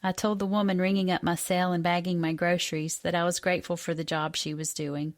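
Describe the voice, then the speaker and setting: A woman's voice: lowish voice, level tone, a little fast. A woman in her fifties from the United States reading a personal essay aloud as a scripted narration. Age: 50s. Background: music.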